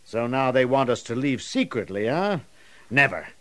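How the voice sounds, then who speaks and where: An actor playing a steadfast, earnest missionary prisoner in an audio drama, speaking in a measured, expressive way. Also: male voice, mid-range voice. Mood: indignant, firm.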